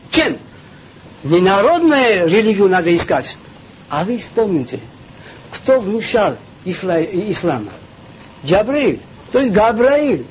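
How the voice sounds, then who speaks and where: High, animated man. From Georgia. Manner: emphatic, with frequent hesitations and rhetorical questions. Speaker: spiritual lecturer. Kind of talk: impassioned religious lecture. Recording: dull lo-fi recording with faint background noise.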